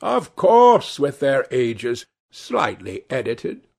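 A man's voice: medium pitch, huge pitch swings, takes it slowly, creaky, darkish tone, husky, pitched above the performer's own voice, heavily acted. A man voicing a chatty older society hostess in a storybook reading, theatrical and exclamatory. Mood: chiding.

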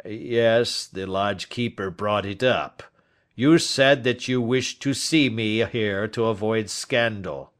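A weary old man near death, acted by a male audiobook narrator. He is bitter and anguished, with heavy emphasis on his words. The delivery is slow and drawn out. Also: on the low side, a bit bright. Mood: guarded. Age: older. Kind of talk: audiobook character acting.

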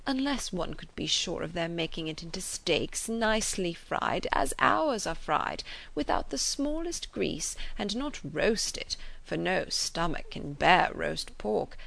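A woman voicing a fussy, anxious, gentle old father in an audiobook, fretting mildly and deliberately. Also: on the low side, very animated, vocal fry, full character voice. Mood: fretful. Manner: fretful, deliberate, mild fussing. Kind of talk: audiobook character voice.

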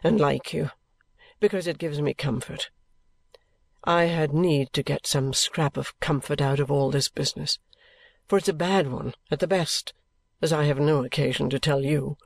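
Man acting a restless young gentleman, higher-pitched, creaky. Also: fairly even intonation, normal speed, no room sound. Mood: subdued, affectionate. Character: restless young gentleman, affectionate but bitter and evasive. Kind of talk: acted dialogue in a classic novel audiobook.

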